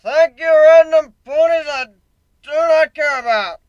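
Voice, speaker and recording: A man voicing a dismissive bear-like monster shouting from a stage in a fantasy story reading. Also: very high voice, unhurried, no room sound, muffled recording, voice pushed up. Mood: scornful.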